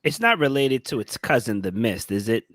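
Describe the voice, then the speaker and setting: Bright, swooping, playful male voice. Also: mid-range voice, big pitch swings, moderate pace, no echo, clean studio sound. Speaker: podcast co-host. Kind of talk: casual, joking banter.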